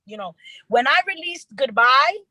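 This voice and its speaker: A woman's voice: high-pitched, normal speed, no echo, rough recording. A young woman from New York, a rapper, chatting in an interview and telling stories earnestly with lots of restarts. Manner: earnest, animated storytelling with repeated restarts. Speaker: rapper guest. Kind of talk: casual interview chat.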